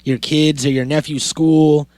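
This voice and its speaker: A man's voice: a little high, flat delivery, a little slow, darkish tone, dry recording. A man co-hosting a podcast, chatting casually in a rambling, offhand way. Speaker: podcast co-host. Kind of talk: casual chat.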